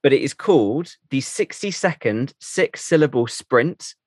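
A man hosting a radio show, interviewing a guest and running a quick-fire question game. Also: mid-range voice, animated, slightly rough, no echo, clean studio sound.

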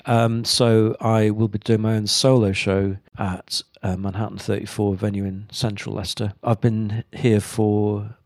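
A man with a fairly low voice, recorded close and dry, chats as an interview guest. He is thoughtful and a little halting, with a self-deprecating streak. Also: little pitch movement, darkish tone, no echo. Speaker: pun comedian being interviewed. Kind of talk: casual interview chat.

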